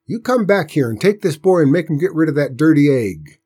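A man's voice: medium pitch, lively intonation, a little fast, husky. A man voicing a stern, bossy, scolding country aunt with a rural Southern US accent for a story. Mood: bossy, annoyed. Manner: sharp, bossy, loud. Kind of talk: storybook character voice in narration.

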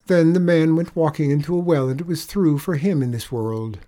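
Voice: medium pitch, normal speed, mellow, pitched above the performer's own voice, lightly acted. A performer voicing a wily little Irish leprechaun man in a storybook tale, coaxing with a mournful lilt. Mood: mournful, insistent. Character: wily little leprechaun man spinning pitiful sob stories.

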